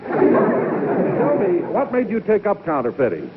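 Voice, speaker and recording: High-voiced formal announcer, vintage broadcast. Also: male voice, wildly swooping pitch, slow, a little hiss or hum, heavily acted. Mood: formal, earnest. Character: male announcer touring a prison, formal and earnest. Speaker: announcer and sketch interviewer. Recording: narrow-band 1950s broadcast with music.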